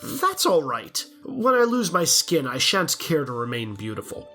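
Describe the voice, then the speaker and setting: A woman voicing a lovelorn, vain male glass blower in a storybook audio drama, husky and pleading. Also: deep voice, big pitch swings, unhurried, pushed and bright, soft background music, heavily acted. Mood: eager, longing.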